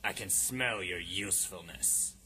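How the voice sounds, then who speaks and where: A man playing a self-important, deadpan hard-boiled detective in 1950s radio drama style. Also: deep voice, a little slow, exaggerated voice, low-quality recording. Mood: smug, deadpan. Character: cocky, melodramatic male hard-boiled detective, absurdly sure of himself. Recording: music behind the voice.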